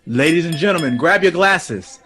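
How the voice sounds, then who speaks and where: An actor playing an exuberant, theatrical jazz composer hosting a party in a 1940s audio drama, with a florid manner. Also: male voice, on the high side, expressive, moderate pace, sharp tone, a faint crowd. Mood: playful, effusive. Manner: flamboyant, florid, switches into French. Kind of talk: period audio drama acting.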